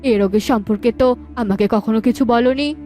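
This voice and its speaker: Cartoon mother-in-law, played by the female narrator: middle-aged, stern, suspicious, high yet soft-edged. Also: normal speed, soft background music, natural voice. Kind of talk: dramatised cartoon story dialogue.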